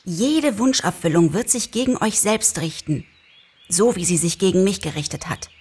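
A man voicing a shrewd, bargaining male fox in a storybook reading, speaking in a husky, urgent and conspiratorial way. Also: sits high, animated, normal speed, a little mellow, voice pushed up. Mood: conspiratorial warning.

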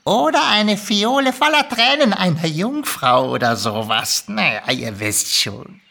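An actor voicing a sly, easily irritated male kobold who haggles nonchalantly, in a lively storybook reading. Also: medium pitch, a little slow, vocal fry, full character voice. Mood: casual, wheeling-dealing. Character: grumpy, sly male kobold dealmaker, ancient magical creature. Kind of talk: lively audiobook character reading.

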